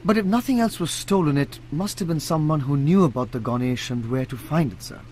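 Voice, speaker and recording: A fairly high man's voice from an actor as a radio-play private detective. He is courteous and composed, questioning people with cool assurance. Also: a little fast, a little mellow, a little hiss or hum, natural voice. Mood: composed, assured. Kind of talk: radio drama acting.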